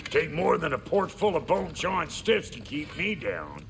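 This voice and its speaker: A man with an American accent voicing a gruff, brash, foul-mouthed dwarf blacksmith in a video game, gravelly, folksy and blunt. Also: a little high, wildly swooping pitch, moderate pace, noisy background, heavily acted. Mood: cocky, gruff. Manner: folksy, blunt, colourful made-up slang. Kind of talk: video game character acting.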